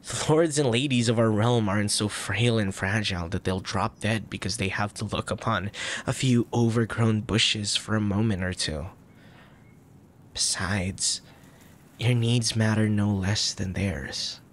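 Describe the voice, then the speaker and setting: A man playing a soft, tender young crown prince in an intimate ASMR roleplay, speaking formally with a gentle, hesitant manner and a troubled air. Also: fairly low pitch, measured pace, slight background noise, close to an everyday voice. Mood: earnest, protective. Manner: formal old-fashioned wording, gentle, hesitant.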